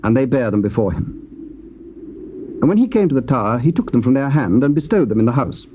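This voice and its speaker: An English man reading Bible narration in a steady, formal way on a lo-fi tape. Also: medium pitch, fairly quick, a little hiss or hum. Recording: dull lo-fi tape.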